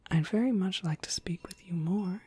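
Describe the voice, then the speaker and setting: A woman playing a friendly witch shopkeeper in an ASMR roleplay, gentle, polite and unhurried, in a breathy, husky whisper. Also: fairly low pitch. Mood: warmly welcoming. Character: friendly witch shopkeeper, kindly and softly welcoming.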